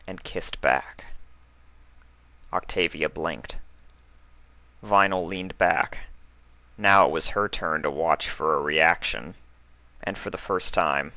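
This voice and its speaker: Low-pitched narrator reading a story. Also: sharp tone, no echo. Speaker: narrator and reader.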